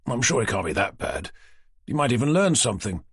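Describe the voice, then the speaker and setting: A man voicing a calm, dry-witted male chief inspector who asks measured, probing questions. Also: mid-range voice, slightly rough, no echo, heavily acted.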